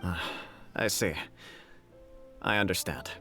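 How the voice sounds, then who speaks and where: A man's voice: low-pitched, slow pace, sharp tone, full character voice. A man playing a courteous, dignified middle-aged government official in a video game, with a formal, polite delivery. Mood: conciliatory. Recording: game music behind.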